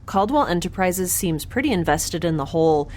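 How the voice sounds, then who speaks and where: A woman's voice: on the low side, expressive, normal speed, a little mellow, slight background noise, close to an everyday voice. A woman playing a wry, stubborn young scientist in a space audio drama, with a dry, sardonic and precise way of talking. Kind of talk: audio drama acting, first-person log narration.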